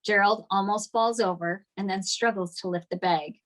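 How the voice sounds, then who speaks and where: A woman's voice: mid-range voice, fairly even intonation, moderate pace, rough recording. A woman narrating the stage directions of a screenplay at a table read.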